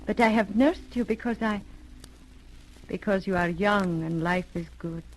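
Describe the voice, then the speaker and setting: An actress in a 1930s radio drama playing a seductive, imperious hypnotic villainess who speaks slowly and commandingly. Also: female voice, medium pitch, natural voice. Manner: slow, deliberate, commanding. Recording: noisy old 1930s radio recording.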